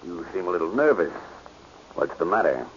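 A demanding, low-voiced radio heavy. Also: male voice, very animated, moderate pace. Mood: smooth, probing. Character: demanding, menacing male diamond owner. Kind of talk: 1940s radio acting. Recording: muffled, hissy old radio recording.

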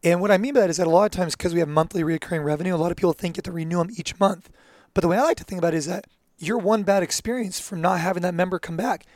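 A man hosting an interview aimed at gym owners, asking questions in a quick, eager way. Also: high-pitched, fairly even intonation, a little mellow, no room sound, clean studio sound. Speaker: podcast host for gym owners. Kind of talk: interview host.

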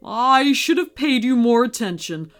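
A kindly old Santa from a children's storybook, put on by a woman narrator. He speaks slowly, with measured and gentle authority that can turn stern. Faint music plays underneath. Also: on the high side, expressive. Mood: warm, apologetic.

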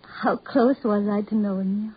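An actress playing a gentle, trusting young woman in a 1940s radio drama, with an earnest, theatrical delivery and breathy voice. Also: mid-range voice, steady tone, moderate pace. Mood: adoring, earnest. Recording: muffled 1940s radio recording.

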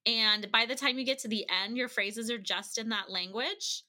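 A woman's voice: a little high, moderate pace, sharp tone. A woman chatting informally as an interview guest, playful and easily amused. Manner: playful, informal, breaks into laughter. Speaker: podcast guest, language-learning business representative. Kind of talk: casual interview chat.

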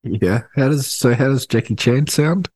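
A man co-hosting a podcast by remote call, deadpan and given to giggling as he feeds jokes. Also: medium pitch, moderate pace, slightly rough, dry recording. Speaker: podcast co-host. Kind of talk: casual podcast banter. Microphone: remote call mic.

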